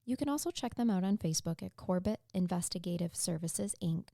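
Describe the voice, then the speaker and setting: A woman's voice: on the low side, expressive, a little fast, creaky, warm tone. A woman hosting a podcast, talking in a bubbly, chatty way that moves between ad reads and banter with her co-host. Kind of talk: casual chat.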